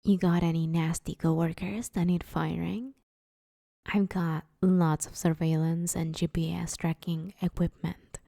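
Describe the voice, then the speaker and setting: A woman's voice: fairly low pitch, little pitch movement, measured pace, creaky, mellow, dry recording, studio quality. A woman playing a broke, sheepish but scheming villain in a conversational comedy audio roleplay, wheedling her neighbor in an awkward, hesitant way. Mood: eager, bargaining.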